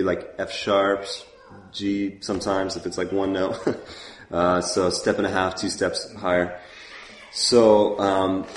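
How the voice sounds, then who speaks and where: A man's voice: low-pitched, echoey room. A man who leads worship, talking casually and loosely about song keys, with many fillers. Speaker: worship leader teaching. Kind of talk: casual teaching talk.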